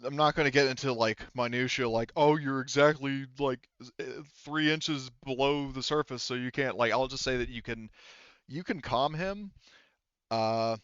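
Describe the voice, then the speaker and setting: A man working as game master of a tabletop roleplaying game, narrating casually and haltingly, correcting himself as he explains on a headset. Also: medium pitch, a bit bright, clean tone, dry recording, highs cut off.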